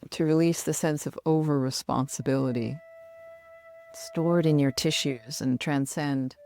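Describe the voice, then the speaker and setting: A middle-aged woman guiding a relaxation meditation in a soothing, measured manner with long pauses. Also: low voice, gravelly, darkish tone. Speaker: yoga nidra meditation guide.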